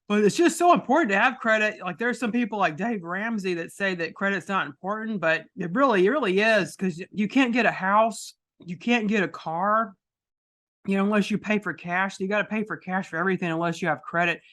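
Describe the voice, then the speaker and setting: A woman with a deep voice hosting a podcast, chatting casually and rambling with many restarts. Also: fairly quick, fairly bright tone. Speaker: podcast host.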